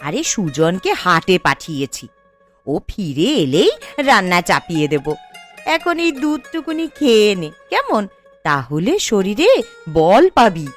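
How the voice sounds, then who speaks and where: A woman playing a sharp-tongued, scheming middle-aged village mother and aunt in a cartoon, bossy and sarcastic. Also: lowish voice, big pitch swings, unhurried, faint music behind. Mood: motherly, brisk. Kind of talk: Bengali cartoon voice acting.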